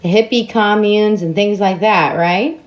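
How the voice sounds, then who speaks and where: A woman asks casual interview questions, gently prompting and giving brief acknowledgments. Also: medium pitch, measured pace.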